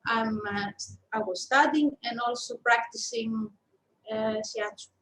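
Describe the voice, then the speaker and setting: A woman's voice: a little high, unhurried, fairly bright tone, rough recording. A woman in her fifties with a Greek accent, telling her story as an interview guest in a thoughtful, hesitant way. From Greece. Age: 50s. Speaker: interview guest, shiatsu practitioner and teacher.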